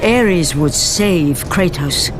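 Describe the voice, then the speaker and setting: A man narrates a game's epic story in a grave, dramatic way. His voice sits a little high for a man, and loud music swells behind him. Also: lively intonation, drawn-out words. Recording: game audio with loud music.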